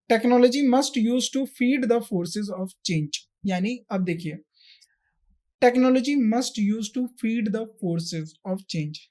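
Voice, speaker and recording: A woman's voice: medium pitch, darkish tone. A woman with an Indian accent teaching a grammar lesson step by step, repeating points and checking in with short questions. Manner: repetitive step-by-step explaining, frequent check questions. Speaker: exam-prep English grammar teacher. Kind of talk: explanatory lesson delivery, mixing Hindi and English.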